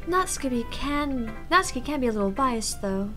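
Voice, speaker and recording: A woman's voice: sits high, lively intonation, moderate pace, a bit bright, faint music behind. A woman voicing a polite, bookish teenage girl from a visual novel, careful and hesitant in manner. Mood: earnest, gentle. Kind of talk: voiced reading of visual novel dialogue.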